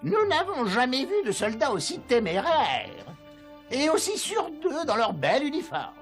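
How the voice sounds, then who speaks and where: An actress playing a mocking, jealous schemer at a tsar's court, theatrical, in a dubbed fairy-tale cartoon. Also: female voice, high voice, very animated, unhurried, faint music behind, exaggerated voice. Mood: mocking, haughty.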